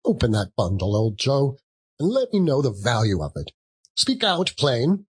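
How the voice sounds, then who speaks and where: A man's voice: medium pitch, huge pitch swings, normal speed, slightly rough, voice pushed up. A man voicing a brazen, callous old charwoman in a theatrical storybook reading, scornful and exclamatory. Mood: scornful, defiant. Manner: exclamatory, scornful, laughing between phrases.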